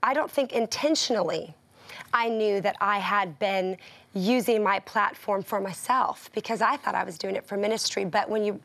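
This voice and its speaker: Talk-show guest, middle-aged woman, earnest storyteller with easy laughs, mid-pitched voice. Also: moderate pace, fairly bright tone. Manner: earnest, laughs often while telling her story. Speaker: guest singer and author. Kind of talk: casual talk-show conversation. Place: television studio with a live audience.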